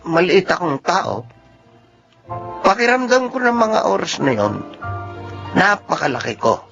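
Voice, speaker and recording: A gentle, proud Filipino father in a radio drama, his voice on the high side. He speaks tenderly and earnestly, in a slightly dull recording with faint music underneath. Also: very animated, unhurried, vocal fry, exaggerated voice. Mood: proud, reflective. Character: gentle, proud little father with dwarfism, long used to mockery. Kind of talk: Filipino radio drama acting and first-person narration.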